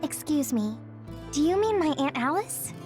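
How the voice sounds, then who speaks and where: A woman's voice: high voice, big pitch swings, moderate pace, over music. A woman voicing an earnest, polite young female recruit in a video game, with a hesitant way of talking. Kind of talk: English video game voice acting.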